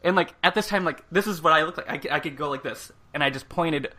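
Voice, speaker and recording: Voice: medium pitch, fast talker, sharp tone. A podcast host chatting casually and telling personal anecdotes in an informal, giggly way.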